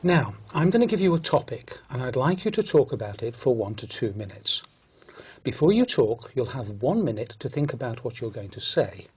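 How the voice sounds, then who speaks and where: A man reading out scripted questions as a language test examiner, formal and measured. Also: medium pitch, sing-song, darkish tone, husky.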